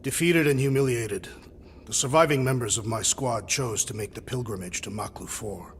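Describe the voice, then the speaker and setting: A solemn alien warrior, acted by a man for a video game, speaks in formal, literal sentences like a keeper of old tales. The voice has a gravelly edge and sits in long reverb over clear background noise. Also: medium pitch, moderate pace, lightly acted. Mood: solemn, reflective. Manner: grave, formal, storytelling delivery without contractions. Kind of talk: dramatic video game cutscene acting.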